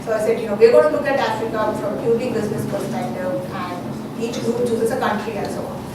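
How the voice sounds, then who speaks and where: A woman's voice: mid-range voice, fairly even intonation, brisk. Woman academic presenter, conversational and explanatory, heard from far off in a very echoey room. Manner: conversational, explanatory, frequent 'you know'. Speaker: college business lecturer presenting. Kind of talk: informal conference presentation. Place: large echoey room, recorded from afar. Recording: echoey room, distant mic, noisy.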